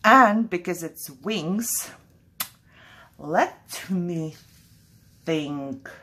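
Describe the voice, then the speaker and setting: A woman's voice: lowish voice, animated, drawn-out words, pushed and bright, slightly rough. A woman from Kazakhstan whose first language is Russian hosts a casual crafting vlog in English. She talks in a chatty, jittery way and laughs often. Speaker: crafting channel host.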